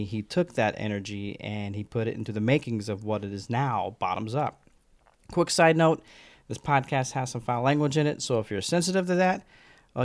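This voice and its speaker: A man hosting a podcast, interviewing a guest at the guest's office in an upbeat way, with frequent stammered restarts. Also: medium pitch. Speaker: podcast host. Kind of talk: casual interview.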